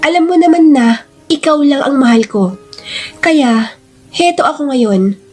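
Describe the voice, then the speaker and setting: A lovesick man acted out by a woman narrator pleads with an old flame in a high voice, drawing out his words with emotion. He is insistent and pushy, in radio-drama style over music. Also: animated, a little slow, darkish tone. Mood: pleading, ardent.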